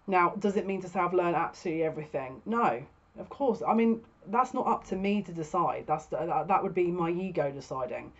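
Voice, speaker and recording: A woman's voice: lowish voice, lively intonation, fairly quick. A woman in her thirties giving a casual, spoken tarot reading to camera as a psychic reader. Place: small furnished room at home, indoors. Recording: dull lo-fi webcam sound.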